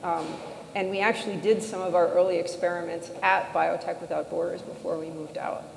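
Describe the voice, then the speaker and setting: A woman's voice: lowish voice, little pitch movement, a little fast, vocal fry. A woman speaking conversationally on a panel, feeling her way through her points with qualified phrasing. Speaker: panel speaker, biotech co-founder. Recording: echoey hall, background noise.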